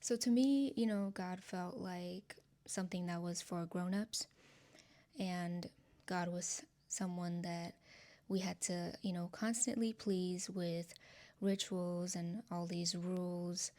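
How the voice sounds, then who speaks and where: Woman from nepal, native nepali speaker, unhurried delivery, soft earnest testimony, light laughs. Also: lowish voice, fairly even intonation. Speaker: channel host sharing testimony. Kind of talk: personal testimony to camera.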